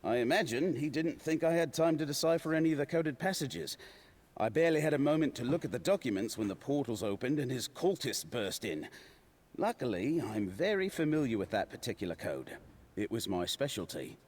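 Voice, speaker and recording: An actor playing a courteous retired adventurer turned scribe in a video game, genteel and measured in speech. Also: male voice, mid-range voice, expressive, a little mellow, natural voice. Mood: calm, wry. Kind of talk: video game character dialogue.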